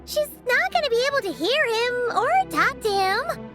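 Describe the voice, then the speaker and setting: A very high, squeaky child sprite. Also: female voice, sing-song, normal speed, music in the background. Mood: urgent. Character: tiny floating girl companion, chirpy, childlike, excitable. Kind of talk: animated video game dialogue.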